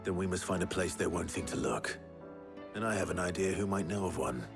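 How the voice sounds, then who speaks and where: An actor playing a determined, brooding warrior leader in a video game, with a British accent and a terse, grave manner. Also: male voice, deep voice, a little fast, over music. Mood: grave resolve. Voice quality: husky, gravelly. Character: determined, brooding male warrior leader, reckless but steady. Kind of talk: video game cutscene acting.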